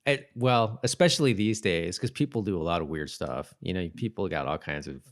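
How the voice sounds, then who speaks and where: Low-voiced man in easygoing podcast chat. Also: fairly quick. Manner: agreeable, hesitant, thinking aloud. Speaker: podcast co-host. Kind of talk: casual TV episode recap chat.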